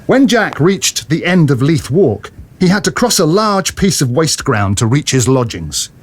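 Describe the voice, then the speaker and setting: A man's voice: fairly high pitch, sing-song, a little slow, slightly rough. A man narrating a story dramatically from a script, as in an audio drama.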